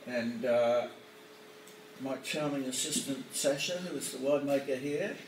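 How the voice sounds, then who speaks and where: A middle-aged man, a winemaker, explaining casually to camera in a winery barrel room, relaxed and full of ums and uhs. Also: mid-range voice, measured pace, crowd noise. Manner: relaxed, filler-heavy, hesitant. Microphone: camera mic from a few metres off. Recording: echoey cellar, distant camera mic.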